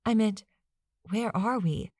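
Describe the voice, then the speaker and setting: A woman's voice: mid-range voice, slow pace, darkish tone, no echo, studio quality, voice pushed up. A woman voicing a shaken, impatient modern woman in an audiobook, asking clipped, demanding questions.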